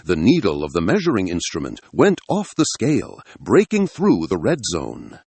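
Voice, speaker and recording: A man's voice: deep voice, huge pitch swings, normal speed, a little mellow, slightly rough, no echo. A man narrating a scripted adventure story in a dramatic style.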